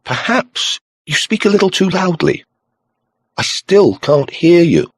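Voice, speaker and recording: A man's voice: high voice, very animated, unhurried, dry recording, clean studio sound, voice pushed up, exaggerated voice. A man voicing a reserved, polite woman on a telephone in an audiobook, speaking with restraint. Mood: polite, puzzled. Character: reserved, soft-spoken woman on a telephone, newly widowed.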